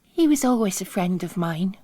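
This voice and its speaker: A woman's voice: medium pitch, lively intonation, moderate pace, dark tone, dry recording, close to an everyday voice. A woman voicing a young nun, blunt and plain-spoken, weary of piety and wary. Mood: dry.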